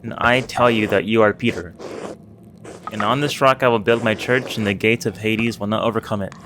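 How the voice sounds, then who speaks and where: A man's voice: lowish voice, fairly even intonation, a little fast, noisy background, natural voice. A man voicing a solemn monk who reads scripture formally in a video game. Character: solemn monk reading scripture aloud at table. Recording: music under the voice.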